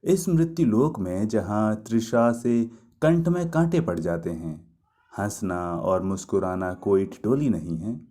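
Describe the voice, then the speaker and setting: A man acts out a lovelorn young woman of myth in a storybook reading. The speech is slow and mellow, poetic and pleading, full of wistful reproach. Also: lowish voice, expressive. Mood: wistful, bitter.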